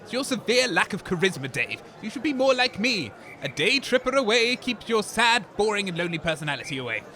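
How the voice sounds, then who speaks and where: A man's voice: high-pitched, big pitch swings, fairly quick, sharp tone, exaggerated voice. A man playing a cheeky, boozy radio sidekick in a comedy, brash, bluffing and mocking. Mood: smug. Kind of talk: comedy sketch acting. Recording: outdoor crowd noise.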